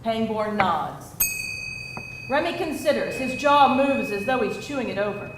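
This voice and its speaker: High-voiced woman reading screenplay directions. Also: lively intonation, a little slow. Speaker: stage directions narrator. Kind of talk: scripted screenplay narration. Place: live indoor table read. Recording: echoey room with faint hiss.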